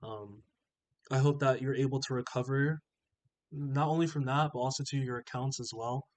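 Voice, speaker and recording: A man's voice: medium pitch, steady tone, moderate pace. A man hosting a trading channel with a stuffy, sniffling nose, reading out viewer comments and reassuring them in a casual, encouraging way.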